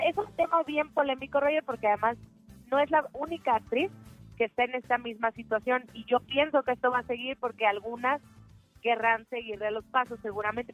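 A woman's voice: a little high, rough recording. A woman presenting a showbiz gossip segment on radio, chatty and opinionated. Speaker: entertainment news reporter.

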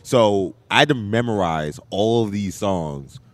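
A man with a deep voice telling a story in a playful, animated way on a talk show. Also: a little slow, no room sound. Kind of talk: casual storytelling.

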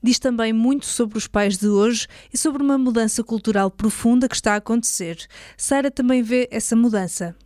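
Dry, higher-pitched Portuguese woman narrator. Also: moderate pace, a little mellow, no echo. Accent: European Portuguese. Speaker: podcast host and narrator. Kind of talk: scripted podcast narration.

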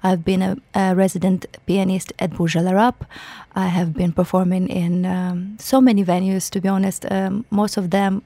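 A woman's voice: medium pitch, level tone, normal speed, warm tone, no echo. A woman with a Ukrainian accent and a level, little-varied melody to her speech. She talks casually as an interview guest, recorded close and dry. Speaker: musician guest.

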